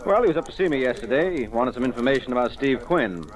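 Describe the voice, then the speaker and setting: An actor with an American accent playing a shrewd, dryly sarcastic private detective in an old radio drama. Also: male voice, medium pitch, lively intonation, crowd noise, natural voice. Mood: probing. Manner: brisk, probing, dryly sarcastic. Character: cool, shrewd, wisecracking male private detective. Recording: noisy old radio recording.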